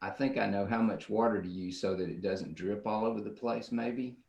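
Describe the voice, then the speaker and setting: A man's voice: lowish voice, fairly even intonation, normal speed, vocal fry, darkish tone, highs cut off. A middle-aged man giving a casual, rambling drawing demonstration for children, often checking in with the viewer.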